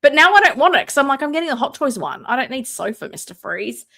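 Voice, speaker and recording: A high-voiced woman chatting on a live stream, her pitch bouncing all over as she talks. She reads out viewer comments and jokes about herself while she unboxes a figure. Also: very animated, fast, gravelly, bright, forceful tone. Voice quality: self-described booming voice. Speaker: host of a live toy collecting show. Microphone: desk mic, moved away at times.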